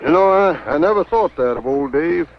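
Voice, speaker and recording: A man's voice: a little high, expressive, moderate pace, slight background noise. An actor playing a gossipy, plain-spoken swamp man with a rural Southern American accent, musing in a folksy way in a 1950s B-movie. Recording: dull 1950s film soundtrack.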